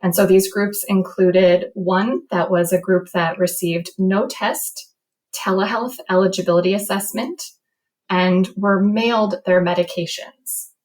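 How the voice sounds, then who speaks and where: A woman's voice: mid-range voice, fairly even intonation, a little slow, darkish tone, low-quality recording. An American woman speaking as a guest researcher, explaining a study in an interview.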